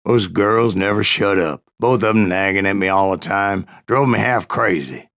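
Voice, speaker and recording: A man playing a grizzled old American gunslinger in a video game, telling tall tales with a folksy, wry, gravelly drawl. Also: low-pitched, normal speed, fairly bright tone. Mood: wry, cantankerous. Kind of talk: video game character narration.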